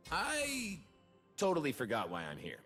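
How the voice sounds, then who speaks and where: A man's voice: on the high side, huge pitch swings, measured pace, a bit bright, slightly rough, faint music behind, exaggerated voice. A man voicing a cocky, carefree bird-man performer in a video game, with a showy, swaggering, exclamatory delivery. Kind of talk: video game character voice lines.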